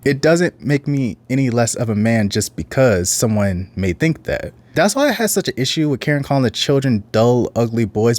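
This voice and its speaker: An earnest man with a deep voice, giving casual, reflective reaction commentary. His pitch sits in a middle range, and the recording is dry and close to the mic, with no room sound. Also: darkish tone, no room sound. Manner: earnest, reflective, sometimes reads out case details.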